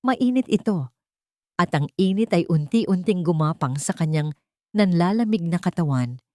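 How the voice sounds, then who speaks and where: A woman's voice: on the low side, animated, moderate pace, warm tone, no room sound. A woman reading a scripted romance story as a dramatic narrator.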